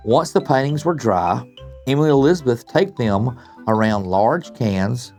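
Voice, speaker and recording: An older man reads a children's story with very lively intonation and a creaky edge. He is expressive and stops to chat with and question young listeners. Soft music plays behind him. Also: medium pitch, a little slow. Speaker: guest storybook reader, retired principal. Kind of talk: children's bedtime story read-aloud with chatty asides.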